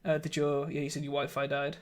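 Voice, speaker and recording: A man streaming a game live, chatting casually with viewers and laughing often, his voice a little croaky. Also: on the high side, completely flat delivery, brisk. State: slightly croaky voice. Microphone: studio microphone.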